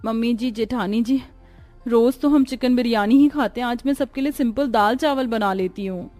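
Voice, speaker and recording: Woman acting a high, plaintive young daughter-in-law. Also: normal speed, darkish tone, soft background music, lightly acted. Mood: polite, eager. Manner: polite, plaintive. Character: overworked young new daughter-in-law, dutiful but exasperated.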